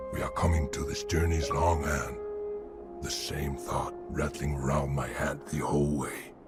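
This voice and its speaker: An actor playing a grave, weary man narrating the end of an epic fantasy game journey in a slow, solemn manner. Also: extremely low pitch. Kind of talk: dramatic scripted game narration. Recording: loud music under the voice. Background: loud game music.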